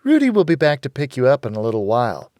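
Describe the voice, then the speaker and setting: Casting: male reader as brash, scheming biker woman for an audiobook, foul-mouthed with a false sweetness, pitched well up, dry sound. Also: huge pitch swings, quick pace, a little mellow, no room sound. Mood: falsely friendly. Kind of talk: audiobook character voice.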